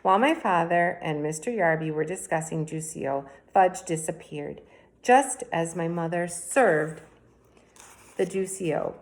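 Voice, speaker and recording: A woman reading a children's book aloud in a plain, even storytelling manner. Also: on the low side, measured pace. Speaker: reader.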